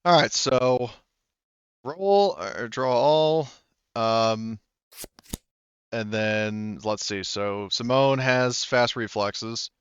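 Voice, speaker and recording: A man working as game master in a tabletop role-playing game, casually narrating and thinking aloud haltingly through the rules. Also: mid-range voice, smooth, no room sound, dull audio.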